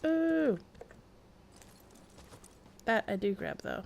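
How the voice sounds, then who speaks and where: Woman streamer with a creaky voice. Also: on the high side, very animated, drawn-out words, a little mellow, slight background noise. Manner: chatty, thinking aloud. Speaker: video game livestreamer. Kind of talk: casual game stream commentary.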